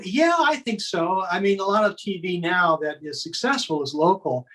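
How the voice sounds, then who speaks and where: A man's voice: sits high, animated, normal speed, a bit bright. An earnest man talking conversationally as an interview guest, sharing his opinions. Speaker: guest on a radio history show.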